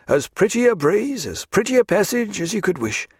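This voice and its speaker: A man's voice: high-pitched, big pitch swings, moderate pace, slightly rough, no room sound, studio quality, voice pushed up, exaggerated voice. A man voicing a dogged, superstitious sailor in an audiobook, deferential yet gloomy, with emphatic old-fashioned nautical speech. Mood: dogged, foreboding.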